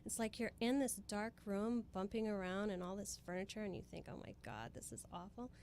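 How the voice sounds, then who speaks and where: A woman's voice: mid-range voice, brisk, darkish tone, a little hiss or hum. A woman from Hawaii giving earnest, emphatic motivational explanations as a guest in a conversational interview. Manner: earnest, emphatic, speaks in repeated phrases. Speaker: spiritual guest being interviewed.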